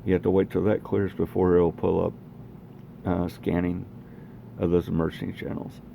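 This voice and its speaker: A man with a very low, creaky voice gives a casual equipment demo. He talks in a plainspoken, rambling way. There is faint noise behind him. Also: flat delivery, normal speed, dark tone. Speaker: radio hobbyist presenting own equipment. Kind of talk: casual equipment demonstration vlog. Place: home garage.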